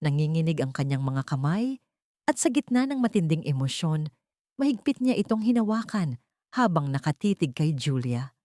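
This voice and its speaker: A woman reading a dramatic audiobook story, voicing every character herself in one steady storytelling voice. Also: low voice, normal speed, dry recording, clean studio sound. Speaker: audiobook narrator. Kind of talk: scripted dramatic narration.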